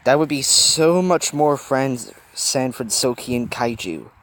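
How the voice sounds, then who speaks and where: A man voicing an excitable, teasing young male mascot who loves comics and monsters. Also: medium pitch, takes it slowly. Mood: playful.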